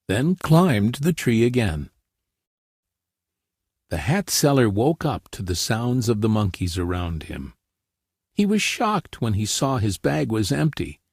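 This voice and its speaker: A man's voice: medium pitch, darkish tone, breathy edge, no room sound, clean studio sound. A man narrating scripted fairy tales for children as a storyteller.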